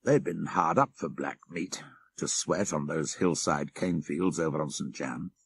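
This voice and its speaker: A man reading a classic horror story as an audiobook narrator, with measured, literary phrasing and dramatic delivery. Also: a bass voice, vocal fry, slightly rough. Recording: 1990s audiobook cassette.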